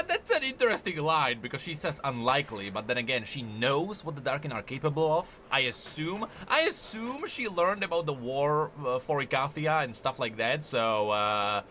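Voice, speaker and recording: A man giving casual, animated commentary on game lore, emphatic and often thinking aloud. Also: a little high, a little hiss or hum.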